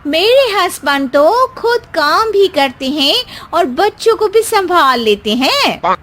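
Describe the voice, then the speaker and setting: Woman doing a chatty party guest wife, curious, high and bright voice. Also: big pitch swings, takes it slowly, soft background music, exaggerated voice.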